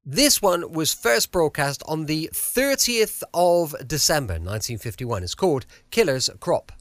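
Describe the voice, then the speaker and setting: A man's voice: on the high side, sing-song, unhurried, fairly bright tone, dry recording. A man with a British accent chatting informally as he introduces his podcast. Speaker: podcast host.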